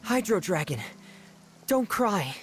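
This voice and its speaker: An actor playing a shy, introverted young man, hesitant and soft-spoken, in a video game. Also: sits high, big pitch swings, slow, soft background music, exaggerated voice. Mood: wistful. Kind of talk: video game character acting.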